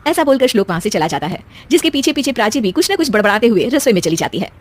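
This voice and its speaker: Narrator: woman, lively storybook reading, high and animated. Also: racing.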